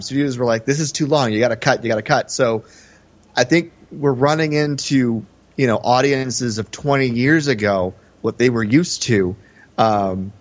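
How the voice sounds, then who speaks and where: A male podcast host in casual film discussion, talking emphatically in a conversational way. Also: medium pitch. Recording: slightly dull, band-limited podcast audio.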